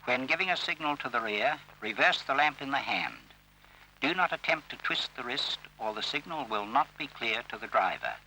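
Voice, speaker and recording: A man narrates an old instructional film in a very bright, crisp-edged and forceful voice. His delivery is formal and measured, setting out each instruction as scripted. It has the sound of a vintage training film soundtrack. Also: medium pitch, lively intonation, slight background noise, rough recording. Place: voice-over recorded for film.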